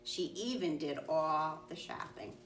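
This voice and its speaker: Low-pitched woman reading a storybook, roomy sound. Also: a little slow, soft background music. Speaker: storybook reader. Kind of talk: children's read-aloud narration. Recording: roomy, distant mic, faint noise.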